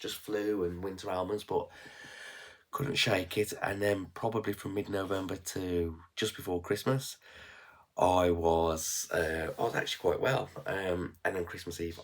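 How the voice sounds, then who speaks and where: Man, 30s, West Midlands accent, congested and nasal from a cold, low gravelly voice, frank rambling vlogger. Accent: West Midlands English. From England. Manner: rambling, frank, with fillers and restarts. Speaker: frugal living vlogger. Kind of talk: casual reflective vlog.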